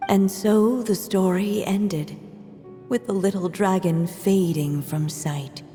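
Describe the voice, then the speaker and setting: A woman playing a solemn fairy-tale narrator in a video game, telling the story in a measured way. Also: medium pitch, steady tone, mellow, close to an everyday voice. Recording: long reverb, music behind.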